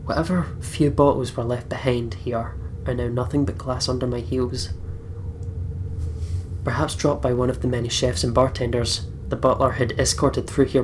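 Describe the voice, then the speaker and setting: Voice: medium pitch, background noise. A let's play host reading game narration aloud dramatically, with casual, sweary commentary.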